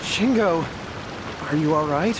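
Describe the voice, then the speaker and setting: A man's voice: fairly high pitch, measured pace, background noise, close to an everyday voice. An actor playing a worried, apologetic young man in a video game, earnest and deferential.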